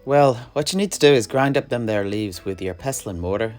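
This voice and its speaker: A man's voice: lowish voice, lively intonation, brisk, darkish tone, soft background music, natural voice. Man acting a breezy, swooping alchemist. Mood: brisk, friendly. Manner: folksy, breezy, dialect grammar. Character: cheerful brash wandering male alchemist, blood-spattered, unbothered. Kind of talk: in-character audio drama dialogue.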